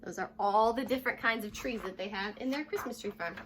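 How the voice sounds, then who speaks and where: A young American woman reading a children's story aloud like a teacher, addressing young listeners directly in a lively way. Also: mid-range voice, fast, slight background noise. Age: young adult. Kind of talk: children's read-aloud with short asides. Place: living room at home, indoors.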